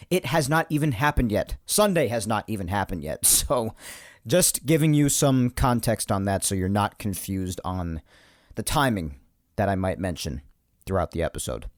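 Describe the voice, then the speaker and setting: A man hosting his own sports podcast, chatty and rambling, his voice slightly hoarse from yelling earlier. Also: mid-range voice, no room sound, clean studio sound. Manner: animated, chatty, rambling asides. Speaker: podcast host. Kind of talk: solo sports podcast monologue.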